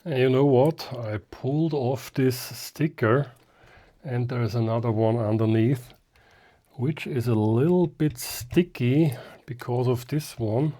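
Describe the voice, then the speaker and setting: Slow-talking man with a mellow voice. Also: medium pitch. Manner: hesitant, thinking aloud, muttering to himself. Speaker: hobbyist host of a restoration video. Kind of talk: casual unscripted how-to commentary while working.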